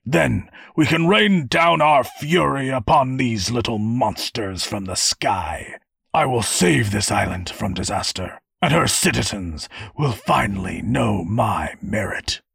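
Male narrator as self-important audiobook lord: very raspy, swooping delivery, impatient, declamatory. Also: mid-range voice, a bit bright, no echo, studio quality, voice pushed up, heavily acted. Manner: affected noble airs, declamatory. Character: pompous, impatient would-be hero nobleman.

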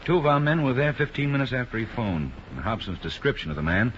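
A man playing a deliberate, skeptical police sergeant in a 1950s radio drama, with measured, doubtful delivery. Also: mid-range voice, big pitch swings, fairly bright tone. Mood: businesslike. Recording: dull, noisy 1950s radio recording.

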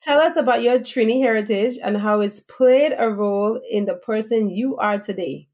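A woman hosting a podcast, interviewing a guest in a slow, deliberate, conversational way. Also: on the high side, darkish tone.

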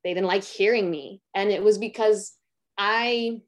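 A young woman, an actress, with a raspy, low voice, talking candidly and reflectively in a casual interview, with many "like" fillers. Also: measured pace. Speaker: actress guest.

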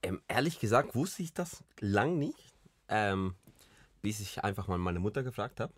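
Deep-voiced young man from Colombia. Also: big pitch swings, normal speed, dry recording. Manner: rambling, many restarts and fillers. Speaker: podcast co-host. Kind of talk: casual chat.

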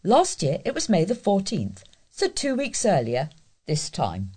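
A woman reading local news and letters aloud as a volunteer, in a deliberate, scripted delivery. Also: fairly low pitch, huge pitch swings, dark tone, breathy edge. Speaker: volunteer newspaper reader.

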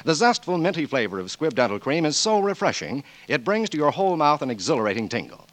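Animated higher-voiced male radio announcer. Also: fast talker, no echo. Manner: polished, emphatic salesmanship. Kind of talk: scripted 1940s radio announcing and commercial.

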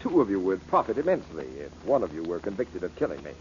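An actor playing a bitter, commanding rich uncle in an old-time radio drama, with cold sardonic delivery. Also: male voice, low-pitched, big pitch swings, fast talker, a little mellow. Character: rich, bitter, imperious uncle taunting his heirs. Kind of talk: 1940s radio acting. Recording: muffled, hissy old radio recording.

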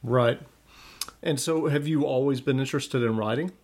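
Male podcast host, mid-range voice, smooth and polished interviewer. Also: medium pitch, normal speed, a little mellow. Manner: asks questions in a smooth, polished way.

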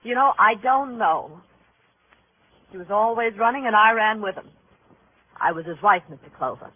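A woman playing a hardened American widow from a shabby tenement. She is sardonic and weary, with a husky voice, in a narrow vintage radio crime drama recording. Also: mid-range voice, moderate pace, lightly acted. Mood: bitter.

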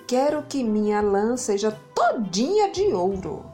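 A woman voicing a vain, self-pitying male sheep who pleads in a drawn-out, dramatic way. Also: on the high side, big pitch swings, dark tone, soft background music.

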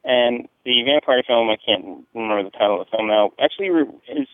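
A man's voice: mid-range voice, lively intonation, a little fast, no echo. Muffled phone-line man, rambling and sweary. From New Jersey. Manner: profane, slangy, hesitant and rambling. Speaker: listener leaving a call-in message. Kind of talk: casual chat, rambling message.

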